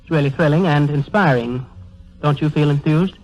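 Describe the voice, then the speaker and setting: Male actor as eager comedy straight man: flowery talker, slightly high voice, dull 1930s radio sound. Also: moderate pace, darkish tone, close to an everyday voice. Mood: earnest, enthusiastic. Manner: flowery, effusive vocabulary, stammering repeats. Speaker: comedian in a double act.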